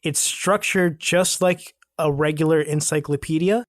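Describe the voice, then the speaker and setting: A man's voice: fairly high pitch, steady tone, unhurried, dry recording, clean studio sound. A young man co-hosting a podcast, explaining things in upbeat casual chat. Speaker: podcast co-host.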